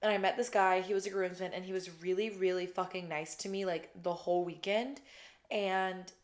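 A woman in her twenties hosting her own podcast, talking casually with a raspy voice after a weekend wedding, swearing often and saying "like" constantly. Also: fairly low pitch, fairly even intonation, moderate pace. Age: 20s. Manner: chatty, swears a lot, says "like" constantly. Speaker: podcast host. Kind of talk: casual solo podcast chat. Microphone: podcast microphone, close.

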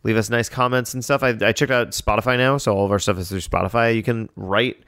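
A man's voice: fairly low pitch, steady tone, fast, fairly bright tone, dry recording, studio quality. An American man hosting a casual podcast chat, easygoing as he explains and wraps up the show. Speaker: podcast host.

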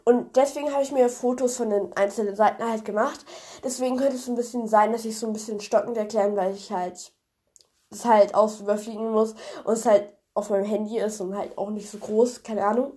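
A woman's voice: medium pitch, fairly even intonation, brisk, dark tone. A young woman hosting a podcast, chatty and rambling with many fillers, her voice nasal and congested from a cold as she retells a fairy tale. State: stuffy cold. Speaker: podcast host.

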